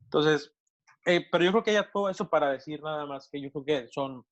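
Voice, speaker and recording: A middle-aged man from Mexico, a pastor with a Mexican Spanish accent, answering interview questions thoughtfully and often pausing to restart his sentences. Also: a little high, muffled recording. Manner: thoughtful, with many hesitations and restarts.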